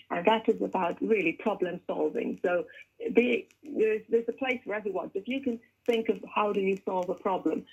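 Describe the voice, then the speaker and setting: A woman's voice: medium pitch, husky, muffled recording. A middle-aged woman from Guyana, a children's author working in tech, answering interview questions earnestly with frequent restarts.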